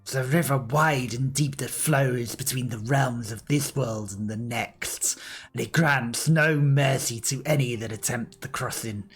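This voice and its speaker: Man playing a fierce tiny old granny, blunt, husky, storybook grandmother. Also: medium pitch, unhurried, soft background music. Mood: stern. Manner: blunt, scolding. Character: gruff, vulgar old grandmother, small and fierce.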